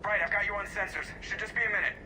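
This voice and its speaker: A man's voice: high-pitched, flat delivery, rapid-fire, sharp tone, slight background noise. An actor playing a sarcastic male starship pilot, teasing over radio comms. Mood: wry.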